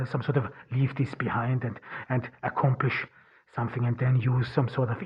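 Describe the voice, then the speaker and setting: A man whose first language is German, talking through a personal monologue as a podcast host in a halting, earnest way, restarting and searching for words. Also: mid-range voice, husky. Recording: dull lo-fi recording.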